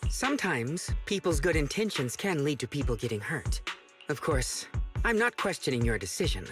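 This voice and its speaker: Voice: medium pitch, sing-song, normal speed, music in the background, close to an everyday voice. A performer playing a polite, cautious robot companion in a video game, with courteous, careful phrasing. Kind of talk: scripted video game voice acting.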